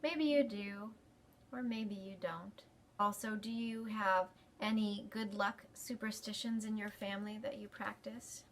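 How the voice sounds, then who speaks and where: A woman's voice: medium pitch, steady tone, measured pace, slight background noise. A woman hosting a library storytime, talking expressively to children. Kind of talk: expressive picture-book reading and talk to children.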